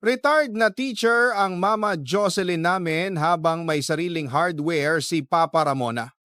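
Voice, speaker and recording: A man's voice: high-pitched, a little slow, a bit bright, no room sound, studio quality. A man reading a listener's letter aloud as dramatic story narration, as the host of a radio drama programme.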